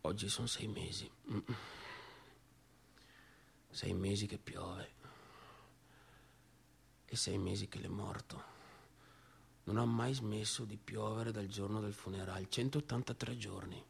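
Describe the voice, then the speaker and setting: An actor playing an obsessive, aggrieved young factory boss in a theatrical monologue, with a northeastern Italian accent and halting, repetitive, colloquial speech. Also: male voice, lowish voice, fairly even intonation, vocal fry, slight background noise. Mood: subdued, brooding. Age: young adult.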